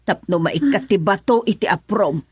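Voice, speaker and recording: A woman's voice: medium pitch, animated, normal speed, a little hiss or hum, lightly acted. A woman playing a middle-aged mother who anxiously pleads with and cares for her sick daughter in a radio drama. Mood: worried. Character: worried mother caring for her ill daughter.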